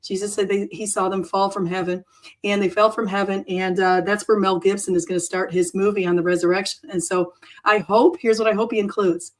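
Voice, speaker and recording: Hoarse woman hosting an off-the-cuff livestream. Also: medium pitch, steady tone, brisk, poor audio. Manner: rambling, self-correcting, chatty. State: hoarse, froggy voice.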